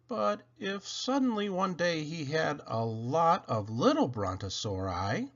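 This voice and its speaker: A man reading children's poems aloud in a theatrical, playful way, with dramatic emphasis and pauses. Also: fairly high pitch, pushed and bright, dull audio. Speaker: reader of children's poems for a read-aloud channel.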